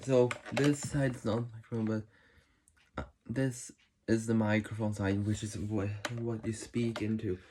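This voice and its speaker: A man's voice: fairly low pitch, level tone. Man explaining casually over noticeable noise. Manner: halting, informal explaining. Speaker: host of a how-to video. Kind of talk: casual hands-on demonstration. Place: indoors. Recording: noisy room with clicks and handling sounds.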